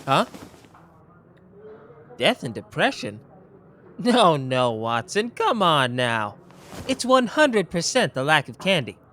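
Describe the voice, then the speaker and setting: Casting a performer for a boastful, playful young male sleuth, very animated delivery, soft ASMR roleplay. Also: medium pitch, takes it slowly, pauses often, slight background noise, heavily acted. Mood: smug. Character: smug young male genius detective, candy-loving.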